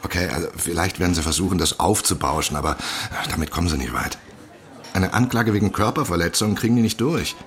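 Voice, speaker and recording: Man acting brash, blunt, low-voiced cynical friend. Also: quick pace, lightly acted. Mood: reassuring, blunt. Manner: blunt, sweary, mocking, emphatic. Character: brash, cynical male friend giving blunt legal advice. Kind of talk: naturalistic radio drama acting.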